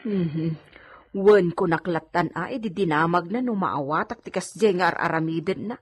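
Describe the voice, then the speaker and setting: A woman's voice: on the low side, normal speed, faint music behind, natural voice. A woman playing an outspoken, protective maidservant in a radio drama, speaking with an Ilocano accent. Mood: indignant.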